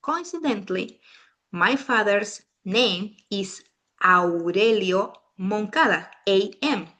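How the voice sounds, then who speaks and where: A woman's voice: medium pitch, animated, takes it slowly, fairly bright tone. Chatty woman with a Peruvian accent. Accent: Peruvian Spanish. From Peru. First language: Spanish. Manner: chatty, restarts sentences often. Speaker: family constellations facilitator. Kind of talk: casual talk to a small group.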